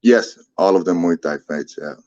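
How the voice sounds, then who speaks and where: A man's voice: low-pitched, moderate pace, darkish tone, dry recording, dull audio. A man in his thirties with a foreign accent, a fighter talking casually in an interview, laid-back and swearing often. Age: 30s. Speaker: MMA fighter, guest. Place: Ottawa.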